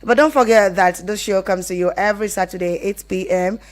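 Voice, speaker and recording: A woman's voice: medium pitch, steady tone, a little fast. A woman with a Nigerian accent presenting a live radio advice call-in show, explaining things conversationally and often rephrasing as she goes.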